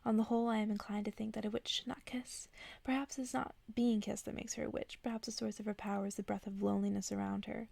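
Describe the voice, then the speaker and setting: Hushed, very creaky woman reading a bedtime story. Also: mid-range voice, little pitch movement, fast, no room sound. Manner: soft-spoken, hushed, drifting into whispers. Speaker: ASMR reader.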